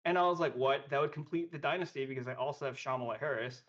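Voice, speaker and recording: A man's voice: mid-range voice, fast talker, a bit bright, dull audio. A man chatting and joking casually as a guest on a podcast.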